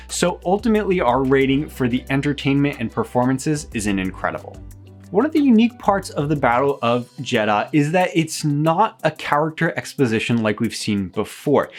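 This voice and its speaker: A man reading a scripted review as host, with an enthusiastic, emphatic presenter's delivery. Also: mid-range voice. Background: background music.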